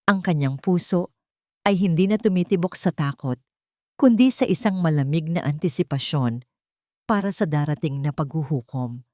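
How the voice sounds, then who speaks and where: Lady narrating a dramatic audio story, lowish voice, melodramatic and deliberate, very dry recording. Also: expressive, warm tone, dry recording.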